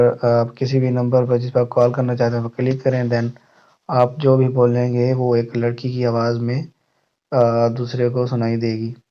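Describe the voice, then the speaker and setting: A man's voice: mid-range voice, mellow. A man hosting a tech channel, narrating an app tutorial in an instructional way to his viewers. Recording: muffled, dull lo-fi voice-over.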